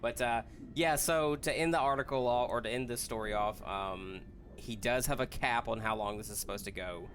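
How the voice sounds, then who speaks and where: A man in a casual group chat, explaining a story haltingly with self-corrections. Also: medium pitch, a bit bright, a little hiss or hum. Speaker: podcast host.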